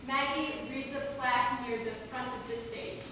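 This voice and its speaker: A woman narrates scripted stage directions in a slightly high voice. She is recorded far from the mic in a theater with long reverb and clear noise. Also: steady tone, normal speed, bright, forceful tone. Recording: very echoey hall, far mic, noisy.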